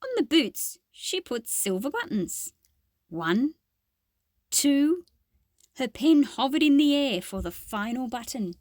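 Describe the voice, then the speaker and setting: A woman's voice: sits high, big pitch swings, takes it slowly, darkish tone, no echo. A woman reading a children's picture book aloud expressively, with explanatory asides to young listeners.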